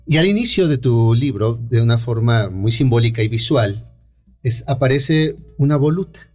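A man's voice: medium pitch, expressive, moderate pace, darkish tone, soft background music. A Mexican man hosting a live radio interview, chatty and easygoing, with frequent backchannel "ujú"s. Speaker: radio show host.

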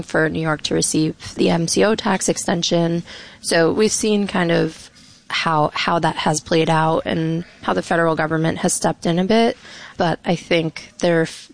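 A woman's voice: fairly low pitch, creaky. A woman reporter on a radio interview, explaining policy in a matter-of-fact, informed way with many "you know"s. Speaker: reporter guest.

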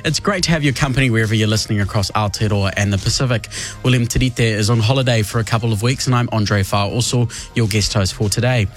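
A man's voice: on the low side, quick pace, faint music behind. Young Kiwi man hosting radio. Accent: New Zealand. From West Auckland, New Zealand. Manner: upbeat, easygoing, with Pacific greetings. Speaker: guest radio host. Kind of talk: radio show presenting and casual banter. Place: radio studio.

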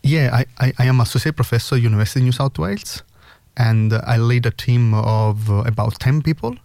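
A man's voice: mid-range voice, brisk, gravelly, warm tone, no room sound. A middle-aged man with a strong Italian accent, a researcher interviewed as a guest, speaking earnestly with many hesitations. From Italy (Sicily).

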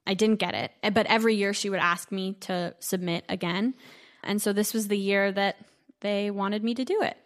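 A woman's voice: medium pitch, fairly even intonation, normal speed. A teenage girl from New York, a young classical singer, talking earnestly in a radio interview. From Brooklyn, New York. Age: teens. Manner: earnest, youthful, sometimes laughing.

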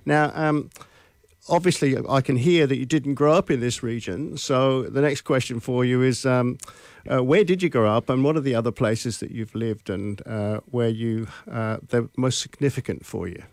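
A man's voice: mid-range voice, normal speed, no echo. A man hosting a community radio interview show, chatty and given to side remarks. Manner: friendly, chatty, prone to digressions. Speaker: radio host. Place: radio studio.

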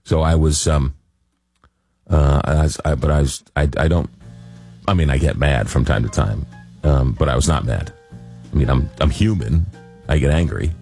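A man's voice: very deep voice, moderate pace, pauses often, a little mellow, soft background music. A man hosting a late-night radio talk show, rambling with many fillers and restarts while getting over a cold. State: recovering from a cold.

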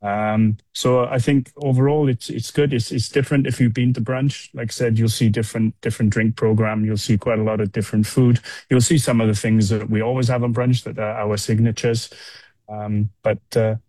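A man's voice: lowish voice, level tone, moderate pace, warm tone, no room sound, low-quality recording. A man from Wales answering casually as a chef in an interview, hesitant and often repeating words as he explains. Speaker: restaurant chef being interviewed.

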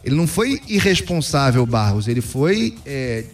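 A man's voice: medium pitch, very animated, moderate pace, soft background music. A man talking football as a pundit in casual sports talk, opinionated and emphatic. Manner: opinionated, emphatic, repeats "cara".

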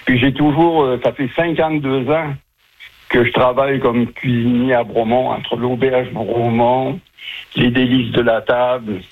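Husky older man on a phone line. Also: medium pitch, fairly even intonation, measured pace. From southern France. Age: 70s. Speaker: retired chef, interview guest. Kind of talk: casual interview answers. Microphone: telephone. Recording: muffled, narrow-band phone line.

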